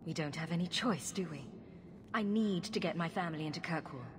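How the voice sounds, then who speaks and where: An actress playing a determined young mage in a video game, composed, questioning and drily sceptical. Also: female voice, fairly low pitch, a little fast, natural voice. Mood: concerned, resolute. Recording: noisy ambience behind the voice.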